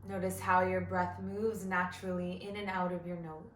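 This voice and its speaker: A woman leading a guided body scan meditation as an instructor, gentle and measured, with long pauses between phrases. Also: lowish voice, level tone, echoey room, slight background noise.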